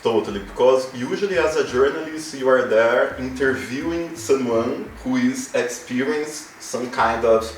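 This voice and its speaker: A man's voice: medium pitch, moderate pace, hardly any pauses, slight background noise. A man from Brazil with a Brazilian accent, a journalist guest talking reflectively in a casual interview, often saying "you know". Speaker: guest, journalist and life model. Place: room in a home. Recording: roomy, mic at a distance.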